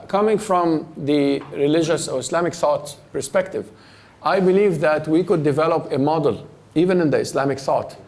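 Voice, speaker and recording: A man giving a public lecture in a hall, with a voice on the higher side. He speaks thoughtfully and often restarts a phrase before finishing it. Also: a little mellow.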